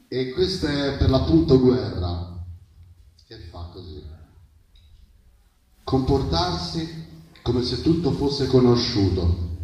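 A man's voice: medium pitch, darkish tone, slight background noise. A man performing satirical spoken-word poetry live, declaiming in short, insistent, repeated phrases. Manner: satirical, mimicking common bigoted remarks. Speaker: poet performing at a public reading. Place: live poetry reading at an outdoor festival. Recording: very echoey, picked up from far off.

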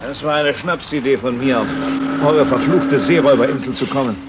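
An actor playing a grumbling, sceptical male traveller in a German radio play, gruff and sarcastic. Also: medium pitch, a little fast, natural voice. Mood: irritated, cursing. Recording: 1960s radio play with loud background noise.

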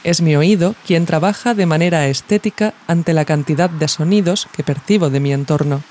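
A narrator reads a scripted audio essay in a measured, reflective way, phrasing each thought deliberately. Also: medium pitch, darkish tone. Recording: music behind the voice. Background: music.

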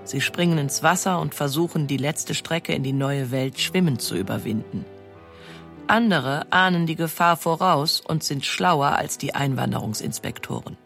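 A narrator reading a scripted history documentary in a measured, explanatory way. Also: medium pitch, gravelly, a bit bright, soft background music.

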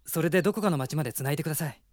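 A man's voice: a little high, rapid-fire, dry recording, natural voice. A man playing a courteous, earnest young prince in a Japanese radio drama, speaking politely and with composure. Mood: decisive.

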